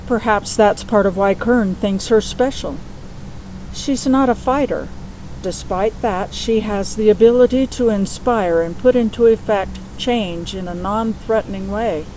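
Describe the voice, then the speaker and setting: A woman playing a stern, composed older combat trainer, measured and instructive, in an audio drama. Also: mid-range voice, darkish tone, slight background noise, close to an everyday voice, rough recording. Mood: sincere, reflective.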